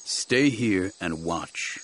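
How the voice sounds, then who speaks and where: A grim warrior-monk master, acted by a male narrator for an audiobook. He speaks very low, in terse, controlled commands, stern and unyielding. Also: animated, drawn-out words, full character voice. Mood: cold, resolute.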